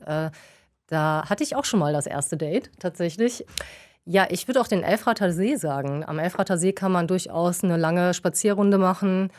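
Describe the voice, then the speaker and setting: A young woman from Düsseldorf, chatting casually as co-host, laughing often and using many fillers. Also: fairly low pitch. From Düsseldorf, Germany. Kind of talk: casual chat, question round.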